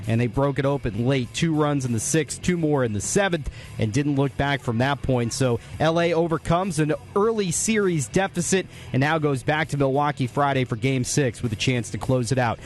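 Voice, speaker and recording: A man's voice: medium pitch, moderate pace, soft background music. A man hosting a radio post-game show, delivering a sports recap.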